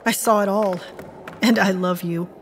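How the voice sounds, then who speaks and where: A woman's voice: mid-range voice, steady tone, normal speed, a little hiss or hum, natural voice. A woman playing a wry, loyal, principled woman in an audio drama, with a matter-of-fact manner. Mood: warm, relieved.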